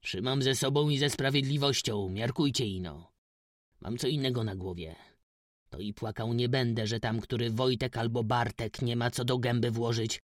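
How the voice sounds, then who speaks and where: A man's voice: medium pitch, normal speed, no room sound, studio quality, close to an everyday voice. A man voicing a stubborn, self-righteous old peasant farmer with blunt, emphatic rustic speech in an audiobook story. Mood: stubborn, forceful.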